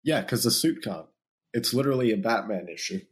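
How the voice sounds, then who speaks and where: A man co-hosting a podcast, hoarse and losing his voice from illness, chatting casually about a film with a teasing, jokey manner. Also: on the low side, lively intonation, fairly quick, slight background noise. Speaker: podcast co-host.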